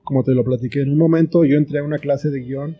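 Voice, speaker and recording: A man's voice: medium pitch, fairly even intonation, fast, slightly rough, highs cut off, soft background music. A middle-aged man with a Mexican accent, talking casually as the guest in an interview. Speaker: interview guest, writer and film teacher.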